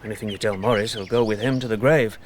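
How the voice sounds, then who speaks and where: An actor playing a chatty British solicitor with a dry, jokey, anecdotal manner in a radio drama. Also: male voice, fairly low pitch, steady tone, quick pace, lightly acted. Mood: helpful, casual. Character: chatty, wry male solicitor, gardening friend. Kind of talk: naturalistic radio drama dialogue.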